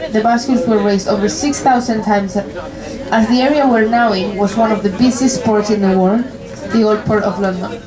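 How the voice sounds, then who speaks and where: A woman's voice: mid-range voice, fairly even intonation, moderate pace, a crowd in the background, rough recording. A young woman from Spain with a Spanish accent, giving live commentary as a tour guide on a river cruise boat, friendly and lightly joking.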